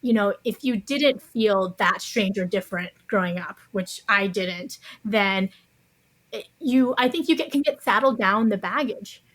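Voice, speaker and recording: A woman's voice: medium pitch, measured pace, fairly bright tone, low-quality recording. A woman speaking as a podcast guest, explaining her views conversationally in a thoughtful, carefully qualified way. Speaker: interview guest, author.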